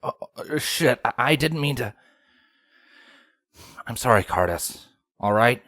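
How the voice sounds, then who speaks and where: A man voicing a weary, gruff, irreverent soldier pony in a storybook reading. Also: medium pitch, lively intonation, slow pace, a bit bright, no echo, studio quality. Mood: flustered, apologetic.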